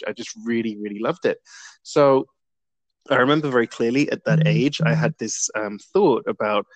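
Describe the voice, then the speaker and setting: A man's voice: on the low side, dry recording, poor audio. A young man answers interview questions in an earnest, reflective way, with frequent ums. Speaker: interview guest.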